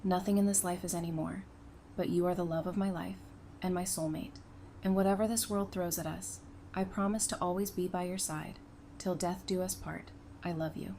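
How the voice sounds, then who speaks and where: A woman's voice: on the low side, steady tone, moderate pace, dark tone, lightly acted. A woman playing a tender, devoted bride in an audio drama, speaking earnestly and emotionally. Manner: earnest, emphatic, emotional delivery. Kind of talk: fan audio drama acting. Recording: faint background noise and ambience.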